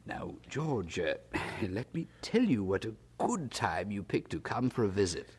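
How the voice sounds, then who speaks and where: Period audio drama: hearty, self-satisfied older brother, husky, very animated delivery. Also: male voice, fairly low pitch, measured pace, full character voice. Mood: gleeful, condescending. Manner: chuckling, hearty, condescending, stammers when evasive. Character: jovial, blustering older brother, 18th-century English townsman.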